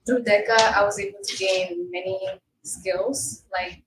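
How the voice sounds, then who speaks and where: A shy teenage young woman from El Salvador introducing herself haltingly as a student guest in an interview. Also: mid-range voice, steady tone, noisy background. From El Salvador, moved to the US at five. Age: teens. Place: small studio room, live recorded show. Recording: echoey room, distant mic.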